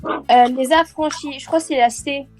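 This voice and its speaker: A woman's voice: sits high, steady tone, moderate pace, soft background music. A woman chatting casually as she takes part in a game. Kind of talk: casual chat.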